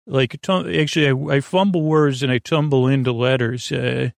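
A man hosting a sleep podcast with a creaky voice, rambling in a soothing, meandering, silly way and fumbling his words as he improvises. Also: medium pitch, steady tone, moderate pace, dry recording, clean studio sound. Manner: soothing, lulling. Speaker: podcast host.

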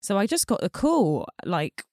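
A woman's voice: mid-range voice, sing-song, unhurried, darkish tone, no echo. Chatty woman, dry close sound. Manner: chatty, many fillers like "like" and "um". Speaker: guest singer. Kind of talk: casual interview chat.

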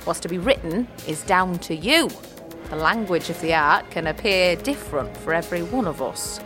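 A woman's voice: lowish voice, animated, moderate pace, music in the background, lightly acted. A woman playing a crotchety but kindly old hedge witch in a fantasy audio drama, teasing and speaking in folksy rustic dialect. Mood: instructive.

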